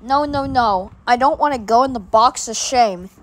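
A woman's voice: a little high, moderate pace, close to an everyday voice. A woman voicing a whiny young cartoon boy who begs and protests in a homemade skit. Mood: pleading. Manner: whining, begging protests.

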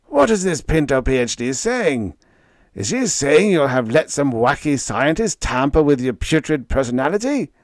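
A man's voice: on the high side, very animated, normal speed, dry recording, pitched above the performer's own voice. A man voicing a blustering, hot-tempered wrestling manager who screeches and howls his questions, in a dry close recording. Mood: bellowing. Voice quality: screeching, howling.

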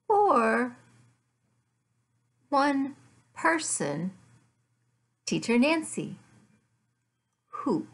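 An American woman in her fifties teaching beginner English, speaking deliberately in short, simple, repeated phrases with pauses, recorded at home on a laptop microphone. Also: fairly high pitch, gravelly, breathy edge. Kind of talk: beginner language lesson, addressed to learners. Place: small furnished living room at home.

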